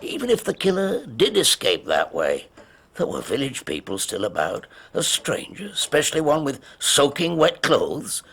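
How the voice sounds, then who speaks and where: An actor playing a proud, eager and slightly prickly country police detective in a radio drama, with emphatic delivery. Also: male voice, mid-range voice, huge pitch swings, moderate pace, creaky, a bit bright, breathy edge, heavily acted. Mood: eager, explaining.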